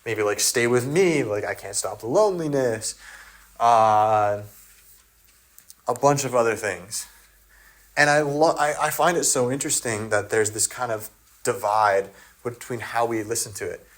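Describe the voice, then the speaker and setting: A man's voice: mid-range voice, unhurried. A native English-speaking man in a casual solo monologue, thinking aloud with many fillers and restarts. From English-speaking country. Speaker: video essayist.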